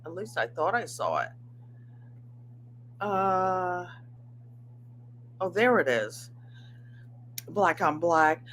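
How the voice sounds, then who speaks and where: A woman in her seventies with a New England accent, slightly nasal and twangy, chatting excitedly to viewers as host of her livestream from a room at home. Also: medium pitch, a little hiss or hum. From Connecticut, USA. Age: 70s. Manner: chatty, excitable, gestures with hands. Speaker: channel host. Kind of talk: casual livestream chat.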